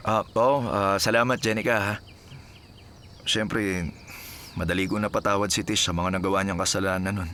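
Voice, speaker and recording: A man's voice: low-pitched, fairly even intonation, normal speed, long pauses, slight background noise. A man playing a forgiving, smitten husband in a radio drama, talking in a hesitant, colloquial manner. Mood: awkward, apologetic. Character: forgiving husband and father, smitten, awkwardly polite. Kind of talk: Filipino radio drama acting. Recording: loud music under the dialogue. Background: music.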